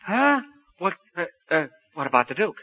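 A man's voice: sits high, sing-song, moderate pace, husky, full character voice. An actor playing an anxious, loyal American con-man's sidekick, slangy and fretful, in 1940s radio style. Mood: puzzled. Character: excitable, anxious male con-man sidekick, loyal and streetwise. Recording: dull vintage 1941 radio recording.

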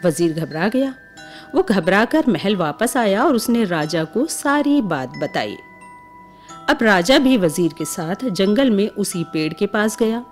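A woman's voice: mid-range voice, big pitch swings, a little slow. A woman narrating a scripted bedtime story for children as the storyteller. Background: music with chimes.

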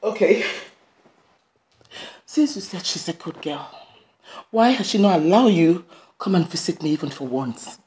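A woman's voice: fairly low pitch, lively intonation, measured pace, long pauses, warm tone. A woman playing a possessive, emotional mother in a melodrama, pleading insistently with her grown son. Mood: suspicious, indignant. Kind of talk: melodramatic screen acting.

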